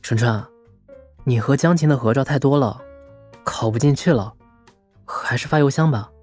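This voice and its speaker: A man's voice: medium pitch, normal speed, a little mellow, breathy edge, faint music behind, lightly acted. A man playing a dejected, lovesick young college student in an audio drama, hesitant and wounded. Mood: wary, resigned. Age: young adult.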